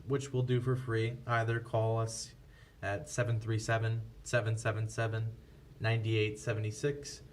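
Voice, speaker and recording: A man giving a casual, unscripted product review as a shop representative, in a matter-of-fact, conversational way. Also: lowish voice. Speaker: scanner shop representative presenting a product comparison. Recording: distant mic with faint background noise.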